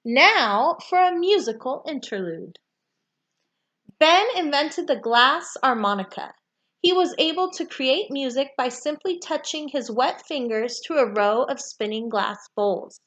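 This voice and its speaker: A woman reading a children's nonfiction book aloud with deliberate, emphatic phrasing for young listeners. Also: high voice, expressive, a bit bright. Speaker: read-aloud storyteller.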